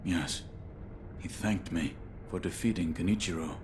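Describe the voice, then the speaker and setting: Loyal swordsman of few words, male: extremely low pitch, terse, solemn game dialogue, noisy ambience behind. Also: measured pace. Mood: stoic, solemn. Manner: terse, grave, restrained. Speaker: video game voice actor. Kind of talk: dramatic game cutscene dialogue.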